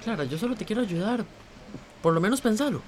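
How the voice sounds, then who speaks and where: An actor playing a caring, eager young friend in a radio drama, with a Costa Rican accent and an earnest, persuasive manner. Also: male voice, sits high, lively intonation, quick pace, slight background noise.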